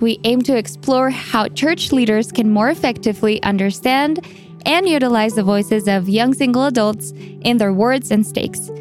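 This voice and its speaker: Colombian-born woman hosting a podcast. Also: fairly high pitch. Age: young adult. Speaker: podcast host. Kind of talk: scripted intro and casual interview.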